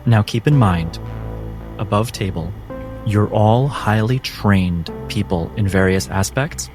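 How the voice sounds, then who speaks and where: Man, low pitch, game master, measured cinematic narration, instructive, music behind.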